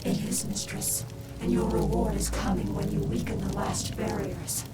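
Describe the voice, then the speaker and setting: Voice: mid-range voice, steady tone, normal speed, music in the background, natural voice. An actor voicing a fawning, sinister demon servant in a video game cutscene, with a hissing delivery that is servile yet goading. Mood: sly, reverent.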